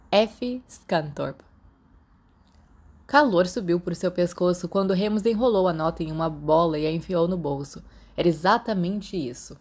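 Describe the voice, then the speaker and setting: A woman's voice: lowish voice, a little mellow. A woman with a Brazilian accent reading a scripted story as an audiobook narrator.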